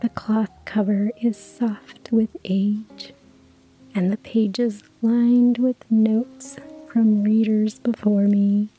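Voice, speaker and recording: A woman narrating a cozy story in a hushed ASMR whisper, with soft laughs. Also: medium pitch, flat delivery, slow pace, breathy edge, faint music behind, low-quality recording.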